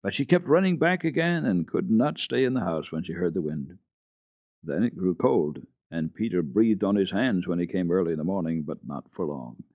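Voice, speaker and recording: A man giving an unhurried, expressive dramatic reading of a classic children's book for radio, with the odd hesitation. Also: sits low, no echo, phone-quality sound. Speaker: storyteller on a children's radio program.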